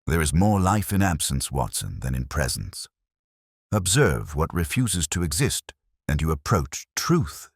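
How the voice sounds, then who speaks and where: A man voicing a calm, commanding Victorian detective in an audiobook story, speaking in measured, terse aphorisms. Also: very deep voice, husky, no room sound, clean studio sound, full character voice. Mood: intent, musing.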